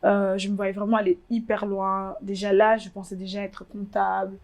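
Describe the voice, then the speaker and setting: A woman's voice: medium pitch, flat delivery, normal speed, darkish tone. A woman in her twenties from Congo, telling her story in a casual interview, hesitant with many fillers and restarts. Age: 20s. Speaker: interviewee, fashion blogger.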